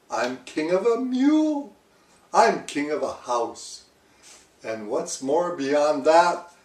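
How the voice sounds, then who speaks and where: A man's voice: sits high, roomy sound, recorded from a distance, pitched above the performer's own voice. A man voicing a pompous, bullying turtle king in a children's storybook, with grandiose, commanding bellows. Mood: gloating. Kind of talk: theatrical children's storybook read-aloud.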